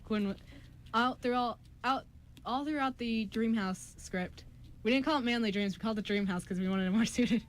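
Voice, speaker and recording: A woman's voice: fairly high pitch, moderate pace. A chatty, giggly young woman co-hosting a casual student radio show, talking in a jokey way. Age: young adult. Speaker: radio show co-host and scriptwriter. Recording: noticeable background noise.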